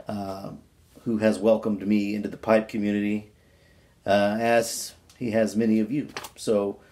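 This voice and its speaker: A man with a folksy, informal manner, chatting casually and reading aloud from a book in an unhurried way. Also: medium pitch, a little mellow. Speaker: pipe-smoking video creator reading a book aloud.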